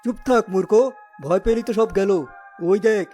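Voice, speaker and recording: A man's voice: high-pitched, a little fast, darkish tone, soft background music, natural voice. A man playing a wicked, menacing occult sorcerer in a cartoon story, with an imperious, theatrical, incantatory delivery. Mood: scornful commanding. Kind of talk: animated cartoon story acting.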